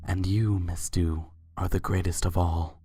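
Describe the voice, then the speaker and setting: A man voicing a suave, courtly pony Sultan who speaks formally and flatters without hurry in a fan fiction reading. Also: low voice, measured pace, husky. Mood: suave, admiring. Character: suave, courtly winged unicorn Sultan, adult male pony.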